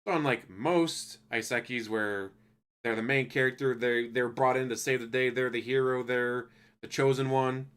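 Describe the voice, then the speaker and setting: A man giving a loose, profane spoken review of an anime, ranting casually and acting out characters in quick asides. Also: mid-range voice, fairly even intonation, fairly bright tone, smooth.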